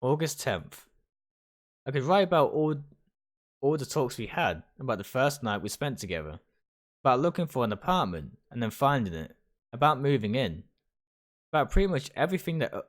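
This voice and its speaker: A man's voice: medium pitch, very animated, moderate pace. A man reading visual novel text aloud in a dramatic storytelling style, sometimes stumbling and rereading words. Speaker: streamer reading a game aloud.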